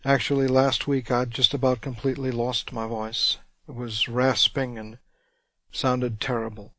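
A man preaching a radio sermon, congested, reading scripture slowly and deliberately. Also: medium pitch, level tone, pushed and bright, no echo.

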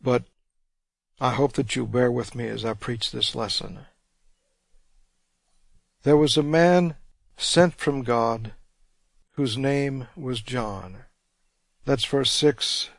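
A man preaching a radio sermon, congested, reading scripture slowly and deliberately. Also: mid-range voice, creaky, no room sound.

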